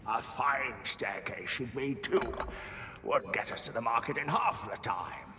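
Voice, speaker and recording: A grumbling, scornful repairman in a video game, muttering his sneering asides in a slow, lingering way. His pitch swings widely as he grouses. The sound is echoey and long-reverberant, with clear ambient noise behind him. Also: expressive, music in the background. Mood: gruff.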